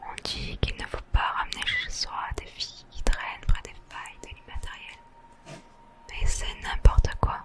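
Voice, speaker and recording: A host whispering soft, gentle, chatty commentary over a video game in ASMR style. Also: moderate pace. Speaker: host of a relaxation gaming channel.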